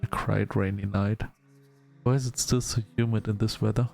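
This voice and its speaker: A man hosting a gaming video, reading story text aloud in a halting, measured storytelling delivery. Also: fairly low pitch, steady tone, gravelly, a little mellow, soft background music. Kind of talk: let's-play narration, reading game text aloud.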